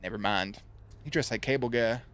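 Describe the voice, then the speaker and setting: A man hosts a reaction video in a casual, playful way. His voice sits at an average pitch, and he talks in loose slang with plenty of swearing and goofy asides. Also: a little fast, a bit bright, a little hiss or hum. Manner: jokey, playful, slangy and profane.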